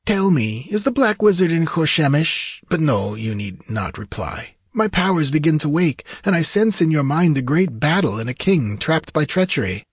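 A man's voice: on the high side, big pitch swings, normal speed, hardly any pauses, slightly rough, no room sound, studio quality, pitched above the performer's own voice. A man voicing an aristocratic, wry sorcerer in a fantasy audiobook, with courtly, archaic and dramatic phrasing. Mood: shrewd, intent. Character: tall aristocratic sorcerer freed from long torment, eloquent, wry.

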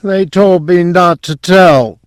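Man doing a drugged, high-pitched mumbling man. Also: takes it slowly, smooth, no room sound, studio quality. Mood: dazed. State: drug-sedated, drowsy. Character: drugged, half-conscious man mumbling secret memories of aliens.

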